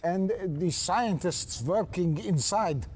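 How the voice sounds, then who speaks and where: An actor playing an excitable male scientist showing off an experiment in a game cutscene. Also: sits high, slow pace, a little hiss or hum. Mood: concerned. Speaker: research scientist.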